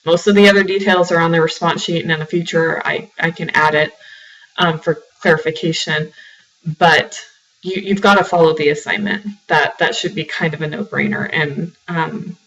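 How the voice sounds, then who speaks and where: A woman's voice: sits low, fairly even intonation, normal speed, fairly bright tone, far from the mic, dull audio. A woman writing instructor with a sore, slightly froggy throat, giving a recorded class lecture full of "um"s and repeated words. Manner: filler-heavy, repeats words when restarting.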